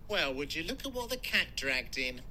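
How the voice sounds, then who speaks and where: A man's voice: a little high, very animated, normal speed, noisy background. A man acting a scornful, contemptuous former boss in an audio drama, sneering and cutting. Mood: scornful, incredulous. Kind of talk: naturalistic audio fiction acting.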